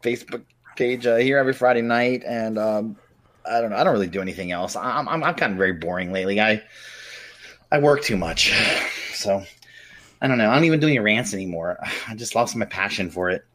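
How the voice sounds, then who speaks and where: A man co-hosting a casual livestream chat, loud and swearing, laughing often. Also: fairly low pitch, a little fast. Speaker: co-host.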